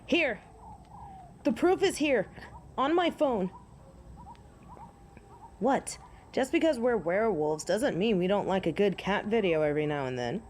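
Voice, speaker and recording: An actress plays a proud werewolf woman of honor in an audio drama. Her voice sits at a medium pitch and her words are formal, solemn and old-fashioned. A faint noise lies behind the recording. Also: animated, unhurried, long pauses, a little mellow, close to an everyday voice. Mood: smug, teasing. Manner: formal, archaic, solemn phrasing. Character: proud, honorable female werewolf pleading with human hunters.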